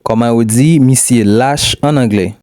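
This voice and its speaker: A man reading questions for a language lesson in a steady, repeating pattern. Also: mid-range voice, normal speed, no echo, studio quality. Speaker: language teacher.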